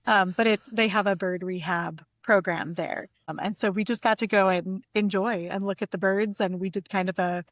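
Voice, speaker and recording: A woman's voice: medium pitch, fairly even intonation, normal speed, few pauses, no echo. Female guest poet, mid-range voice, dry intimate recording, conversational and reflective, lightly self-amused. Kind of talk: casual conversational interview.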